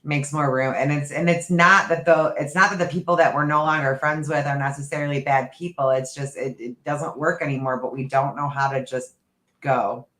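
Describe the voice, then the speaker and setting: A man's voice: a little high, steady tone, normal speed, bright, forceful tone, echoey room. A man co-hosting a podcast in casual chat, talking through his thoughts in a rambling, reflective way. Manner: rambling, reflective, talks through ideas aloud. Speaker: podcast co-host.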